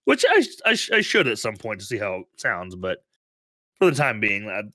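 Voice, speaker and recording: Podcast co-host, male, under the weather, bright edge, giggly, trips over restarts, dry close mic. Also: lowish voice, huge pitch swings, normal speed, dry recording. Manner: laughs often, restarts sentences. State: sick. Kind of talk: casual podcast chat.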